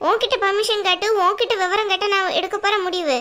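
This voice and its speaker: A woman voices a stern grandmother who rules the house. She scolds in a sharp-tongued way. Her voice is high for an old woman, with a bright edge. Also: steady tone, fast. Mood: scolding.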